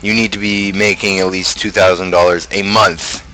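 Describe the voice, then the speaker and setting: A man's voice: low-pitched, flat delivery, normal speed, sharp tone, a little hiss or hum, low-quality recording. A young adult man chatting casually on a livestream, rambling and opinionated as he rants. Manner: opinionated, ranting. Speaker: livestream host.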